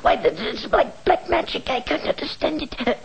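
An actor playing a scheming, covetous old villain professor in a vintage cartoon, muttering and stammering. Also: male voice, extremely high pitch, animated, a little slow, vocal fry, highs cut off, full character voice. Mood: astonished. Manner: stammering, muttering, gloating cackle.

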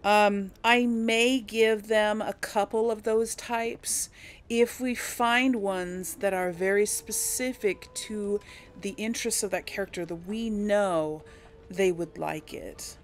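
A woman's voice: medium pitch, steady tone, takes it slowly, a little hiss or hum. A woman giving casual let's play commentary, chatting to her viewers and thinking aloud as she plays. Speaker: video game player hosting a series. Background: game music.

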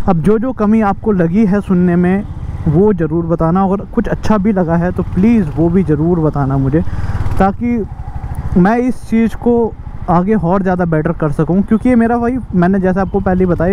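A man's voice: high-pitched, lo-fi sound. A young man talking casually to viewers while riding a motorcycle through city traffic, chatting and calling them brother. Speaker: motovlogger. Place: on a motorcycle on a busy city road. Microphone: helmet mic on a moving motorbike.

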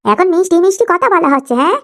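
A woman's voice: extremely high pitch, expressive, very fast, fairly bright tone, full character voice. A woman voicing a boastful, scheming old village mother, drawling and scolding in rustic dialect for a cartoon. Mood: indignant, scolding.

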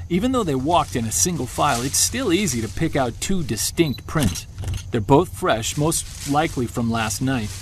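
An actor playing a Bigfoot hunter on an expedition, talking low and excited into his field recorder, with faint outdoor sound behind him. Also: vocal fry, slight background noise. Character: obsessed male Bigfoot hunter alone in woods. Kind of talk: audio drama field-recorder monologue. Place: woods at night and day.

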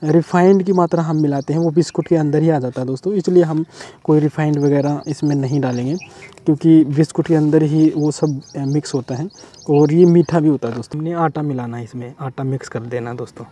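A man's voice: on the high side, fairly even intonation, a little fast, dark tone. A man hosting a fishing channel, chatting his way through a bait-making demonstration outdoors by a river, and he keeps addressing his viewers as friends. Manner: chatty and repetitive, often says "friends". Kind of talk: casual how-to demonstration.

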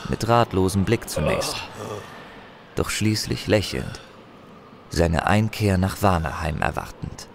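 A man narrating a fantasy audio drama in a solemn, measured, expressive storytelling manner. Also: sits low, creaky, a little hiss or hum, heavily acted. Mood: solemn, awed. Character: solemn male narrator of a barbarian saga.

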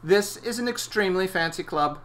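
A man's voice: sits high, lively intonation, a little fast, fairly bright tone, pitched above the performer's own voice. Storybook schoolgirl heroine, played by a man: earnest, emphatic, grand, with faint noise behind. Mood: proudly earnest.

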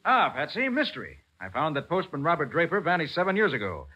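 An American actor playing a shrewd, confident detective in a 1940s radio drama, crisp, urgent and decisive. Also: male voice, a little high, wildly swooping pitch, fast, pushed and bright, soft background music, heavily acted. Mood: determined. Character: brisk, shrewd, confident male detective hero. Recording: muffled, hissy 1940s radio recording.

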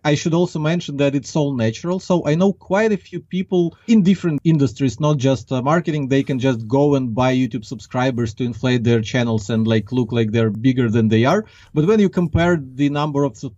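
A man with a Ukrainian accent answers as an interview guest in a conversational style. He speaks at a middle pitch close to the microphone, and the sound is dry and a little muffled, like an online call. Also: warm tone, no echo. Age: 30s. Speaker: interview guest, marketing executive. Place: small acoustically padded room. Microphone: large desk microphone, close. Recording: slightly dull, band-limited online call.

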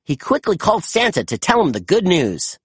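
Very animated, husky storybook narrator. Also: medium pitch, fairly quick, dry recording. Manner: expressive storytelling. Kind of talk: children's read-aloud narration.

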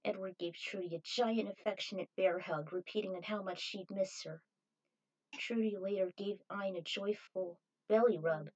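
A woman's voice: medium pitch, fairly even intonation, normal speed, darkish tone, lo-fi sound. Woman narrating a written story. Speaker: fan fiction author reading aloud.

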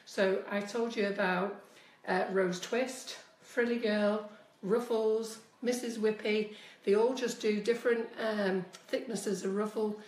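A woman's voice: mid-range voice, steady tone, slow, a little mellow, recorded from a distance. Chatty woman teaching cake piping, echoey kitchen. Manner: chatty, instructive, addresses viewers directly. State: slightly squeaky voice from a cold. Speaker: cake decorating tutor. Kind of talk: live-streamed baking demonstration. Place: home kitchen, indoors. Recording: echoey kitchen, voice often off-mic.

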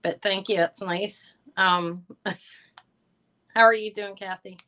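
Loud, bright-voiced woman hosting a live sale. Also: medium pitch, fairly even intonation, takes it slowly. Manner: chatty, rambling, loud voice. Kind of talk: casual live-stream chat greeting viewers.

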